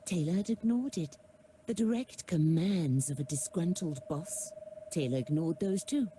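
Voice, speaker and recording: A woman narrating a video game's story in a wry, measured storyteller's voice. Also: fairly low pitch, warm tone, a little hiss or hum, natural voice. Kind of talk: scripted story narration in a game.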